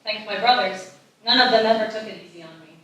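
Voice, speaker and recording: A woman's voice: medium pitch, quick pace, fairly bright tone, lightly acted. A woman playing a bold, teasing but caring sixteen-year-old girl in a live screenplay table read. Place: live festival reading in echoey room. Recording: very echoey room, distant mic.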